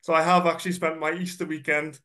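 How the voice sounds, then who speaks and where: A man's voice: on the high side, little pitch movement, fast, sharp tone. A man gives a chatty, self-deprecating personal presentation, sounding a bit nasal from a cold and using many ums and uhs. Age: 30s. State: congested, slightly nasal from a cold. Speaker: guest speaker.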